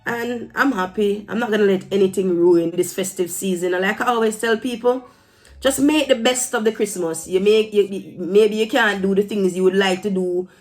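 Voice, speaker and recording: A woman's voice: medium pitch, normal speed, darkish tone, faint music behind. A woman from Jamaica with a Jamaican accent, talking casually to her viewers in an emphatic, admonishing way and slipping into Patois phrases. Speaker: vlogger giving her opinion. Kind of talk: casual opinion talk to camera.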